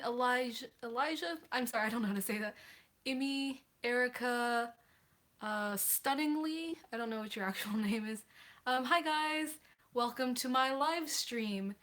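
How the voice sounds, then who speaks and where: A woman's voice: high voice, a little slow, a bit bright. A teenage girl hosting a casual livestream, answering viewer questions in a chatty, apologetic way with many hesitations. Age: teens.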